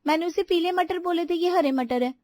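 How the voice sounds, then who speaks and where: Woman as young sister-in-law, dutiful, defensive, very high voice, dry recording. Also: brisk, darkish tone, no room sound. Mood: indignant.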